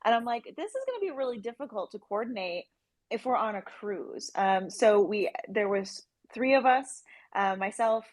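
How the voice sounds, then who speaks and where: A woman's voice: a little high, lively intonation, normal speed, no echo. A woman speaking as an interview guest, explaining things in a casual, promotional way with plenty of "like" in her chatty delivery. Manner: chatty, explanatory, peppers speech with "like". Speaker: interview guest, project team member.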